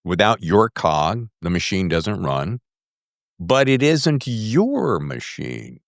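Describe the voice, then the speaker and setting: A low-pitched man hosts a podcast interview in casual, emphatic talk. His intonation swings widely as he builds his questions, and the recording is close and dry. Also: takes it slowly, creaky, no echo. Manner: expressive, emphatic, acts out imagined quotes.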